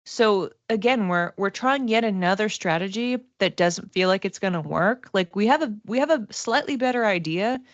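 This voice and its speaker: A woman giving a casual livestream psychic reading, talking to viewers conversationally and thinking aloud in halting phrases. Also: mid-range voice, animated, dry recording, lo-fi sound. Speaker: psychic reader and class teacher.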